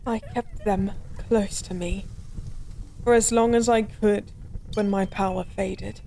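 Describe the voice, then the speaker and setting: A woman's voice: medium pitch, darkish tone, slight background noise, poor audio. A woman playing an ancient fallen goddess, drunk, weary and resigned, in an intimate, melancholy audio roleplay monologue. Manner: slow, brooding, melancholy drunken rambling.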